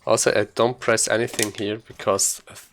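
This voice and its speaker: A man's voice: fairly low pitch, flat delivery, brisk, fairly bright tone, slight background noise. A man giving casual, explanatory gameplay commentary as a speedrunner.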